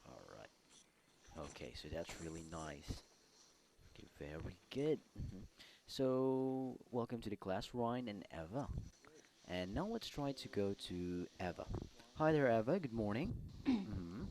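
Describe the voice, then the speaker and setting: A man's voice: lowish voice, sing-song, unhurried, background noise. A man teaching an English class online, patiently modelling simple answers for his students to repeat. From Philippines.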